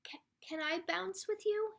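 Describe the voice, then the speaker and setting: A woman voicing childlike little ducklings in a storybook, with simple, emphatic, drawn-out phrases. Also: extremely high pitch, gravelly, pitched above the performer's own voice, exaggerated voice. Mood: shy, hopeful.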